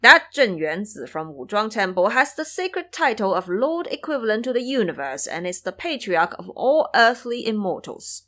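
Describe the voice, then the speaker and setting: Dignified male deity for audio drama, played by a woman: formal, stern, courteous, highly expressive delivery. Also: normal speed, few pauses. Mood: scolding. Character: dignified male immortal lord, courteous but scolding.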